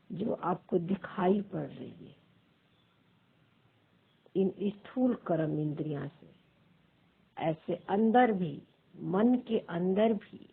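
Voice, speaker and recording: A woman leads a guided meditation as a teacher, pausing between short, measured phrases in a husky, creaky voice. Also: on the low side. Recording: thin phone-like sound.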